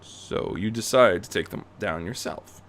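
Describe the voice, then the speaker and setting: A gruff older man, acted out by a fan fiction reader, questions sternly with a creaky edge. He is terse, measured and suspicious, like a disapproving father in an audio story. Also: low-pitched, a little hiss or hum. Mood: skeptical.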